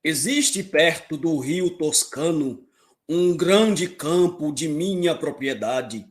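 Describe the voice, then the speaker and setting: A man acts a stately king, formal and conciliatory before his council. His voice sits a little high and he declaims very slowly, in epic audio drama style. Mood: stately, measured.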